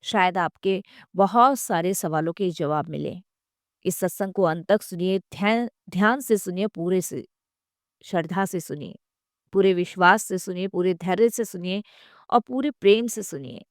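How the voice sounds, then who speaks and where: A woman's voice: fairly low pitch, moderate pace, no room sound, studio quality. A woman reading scripted devotional narration in a reverent, earnest manner, often repeating phrases for emphasis.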